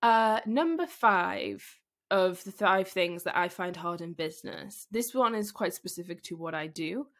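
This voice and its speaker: A woman hosting a solo business podcast, speaking candidly with a croaky, husky voice from being unwell, thinking aloud with fillers and restarts. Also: on the low side, lively intonation, unhurried, fairly bright tone. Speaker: podcast host.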